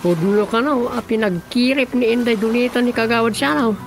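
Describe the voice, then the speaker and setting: An actor playing a grumbling, reluctant village office worker in a comic radio drama, colloquial, with an Ilocano accent. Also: male voice, very high-pitched, a little fast, soft background music. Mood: matter-of-fact.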